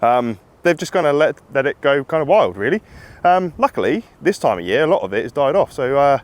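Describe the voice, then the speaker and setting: A man with a husky voice from a cold, chatting casually while metal detecting in an open field outdoors. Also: medium pitch, big pitch swings, brisk. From England. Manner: chatty, rambling, upbeat, laughs often. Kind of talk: casual outdoor vlog chat.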